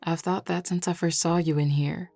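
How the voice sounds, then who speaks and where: Woman acting as male werewolf, Southern drawl, low pitch, teasing, unhurried, flirty, audio roleplay, music behind. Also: steady tone, warm tone, no room sound. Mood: sincere, flirty.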